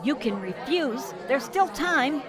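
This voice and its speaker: A woman's voice: sits high, animated, slow, a crowd in the background. A woman in amateur radio theater playing an anxious codebreaker, urgent and pleading as she gives warning. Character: anxious female codebreaker desperately warning a man.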